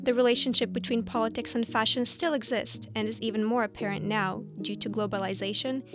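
A woman narrating part of a news feature from a script, reading carefully and in a measured way. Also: on the high side, fairly even intonation. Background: background music.

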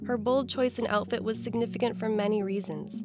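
A woman's voice: on the high side, a little fast. Woman reading a news feature script. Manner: even, read-aloud delivery. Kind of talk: scripted narration. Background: background music.

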